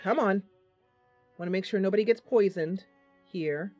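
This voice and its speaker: A chatty woman talks through her game as she plays, pausing to think with little ums and calling out to her viewers. Her voice sits at a middle pitch for a woman. Soft game music runs faintly underneath. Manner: playful, addresses viewers directly. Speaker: gaming channel host. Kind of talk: casual let's-play commentary.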